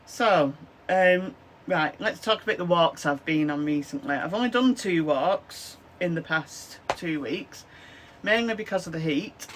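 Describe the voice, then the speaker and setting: A woman in her fifties with a Lancashire accent, a chatty crafter with a chesty cough and a hoarse voice, rambling to camera from her small craft room with lots of fillers. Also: lowish voice, animated, unhurried, a little hiss or hum. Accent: Lancashire English. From Lancashire, England. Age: 50s. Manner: rambling, with many "um, so yeah" fillers. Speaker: craft vlogger. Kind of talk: casual chatty to-camera vlog. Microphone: camera at desk, close framing.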